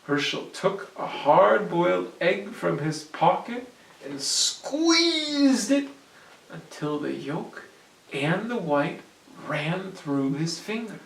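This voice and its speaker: A storyteller reading a picture book aloud to children in an animated, explanatory way. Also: mid-range voice, takes it slowly, vocal fry. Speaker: storyteller, host. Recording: echoey room, distant mic.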